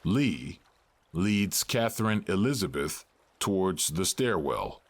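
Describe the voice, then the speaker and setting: A man's voice: low voice, big pitch swings, takes it slowly, no echo. A man narrating stage directions for a scripted audio drama.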